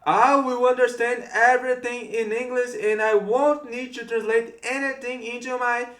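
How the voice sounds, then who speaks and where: A man's voice: very high-pitched, little pitch movement, moderate pace, a bit bright, echoey room. A man from Brazil with a Brazilian Portuguese accent, a native Portuguese speaker, giving earnest advice on learning English and repeating his encouraging phrases. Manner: earnest, repetitive coaching phrases. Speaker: English tutor. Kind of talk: motivational talk to camera.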